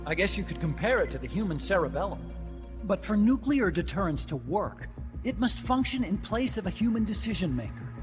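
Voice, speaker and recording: A nervous young scientist. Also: male voice, high voice, very animated. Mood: briefing. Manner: quick, explanatory, turns subdued and hesitant. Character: young male scientist, nervous, guilt-ridden. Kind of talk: video game cutscene acting. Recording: music playing underneath.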